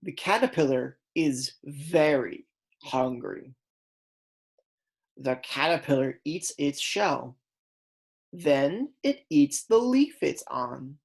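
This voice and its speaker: A woman reading aloud as a kindergarten teacher, in a deliberate, instructional way that stresses key words. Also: low-pitched, lively intonation, gravelly, a little mellow, slightly rough. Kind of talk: read-aloud lesson for young children.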